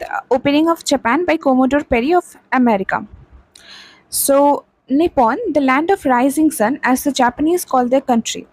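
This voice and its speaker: A woman's voice: high voice, dry recording, low-quality recording. A young woman with an Indian English accent, teaching a textbook chapter and repeating key points with frequent "okay" and "uh". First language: not Hindi.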